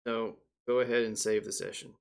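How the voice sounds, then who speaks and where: A man speaking casually and a little haltingly as a player giving spoken commands in a game demo. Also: fairly low pitch, little pitch movement, gravelly, smooth. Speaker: player demonstrating a voice assistant.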